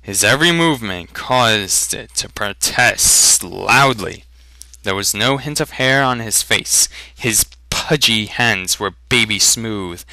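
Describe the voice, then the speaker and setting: Slow, bright-voiced male story narrator. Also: fairly low pitch, no echo, low-quality recording.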